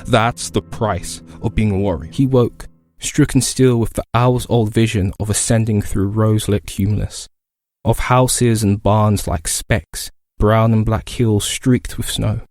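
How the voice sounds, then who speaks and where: A man's voice: on the low side, darkish tone, no room sound, clean studio sound. A man narrating literary fiction as a dramatic voice actor's reading. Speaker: voice actor performing a reel.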